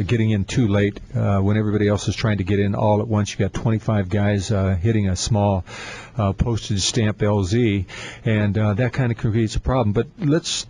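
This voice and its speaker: A man co-hosting a talk show, explaining things in a measured way with frequent fillers. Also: on the low side, warm tone, husky. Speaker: podcast co-host. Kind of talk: casual instructional chat.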